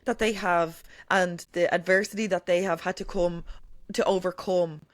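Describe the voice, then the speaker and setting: A woman's voice: fairly low pitch, fairly even intonation, normal speed, no room sound. A woman talking as a podcast guest, emphatic and candid, swearing freely and leaning on "like" and "you know". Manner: says she has a strong voice. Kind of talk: candid conversational chat.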